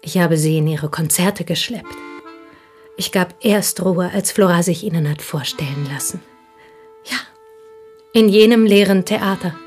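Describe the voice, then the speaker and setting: An actress in a German radio play, playing an intense, embittered provincial Frenchwoman making a fervent, halting confession in a husky, breathy voice. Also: on the low side, darkish tone, lightly acted. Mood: pained, confessing. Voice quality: husky, breathy, dropping into whispers. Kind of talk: German radio play acting, dramatic monologue.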